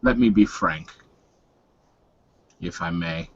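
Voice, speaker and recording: A man roleplaying a blunt, solemn werewolf mentor in a tabletop game, speaking frankly and with measured words. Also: low voice, gravelly, no room sound, exaggerated voice. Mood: grave, resigned. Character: grave, blunt male werewolf mentor, clove smoker. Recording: dull, dry lo-fi voice recording.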